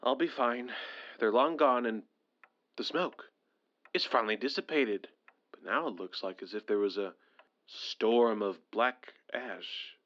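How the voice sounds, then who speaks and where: A man's voice: mid-range voice, unhurried, a bit bright, highs cut off, natural voice. A man playing an anxious, frustrated survivor of an alien invasion, formal and earnest, in an audio drama. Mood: tense, determined. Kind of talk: dramatic audio drama acting with journal narration.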